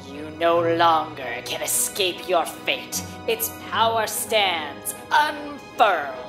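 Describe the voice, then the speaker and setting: A woman's voice: lowish voice, expressive, slow pace, over music. A woman playing an ominous herald of doom in a fan drama, chanting theatrical rhymed threats. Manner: theatrical, incantatory.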